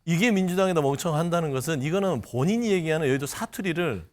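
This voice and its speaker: A man's voice: a little high, expressive, fast talker. A man giving critical political commentary as a panelist, often posing rhetorical questions. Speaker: political panelist, party spokesman. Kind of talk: political commentary in studio discussion.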